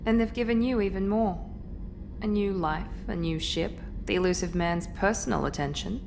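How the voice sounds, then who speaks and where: A woman's voice: medium pitch, normal speed, creaky, background noise, lightly acted. A woman playing a proud, guarded and self-critical officer in a video game, composed and articulate. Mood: frank, bitter. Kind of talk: dramatic video game dialogue.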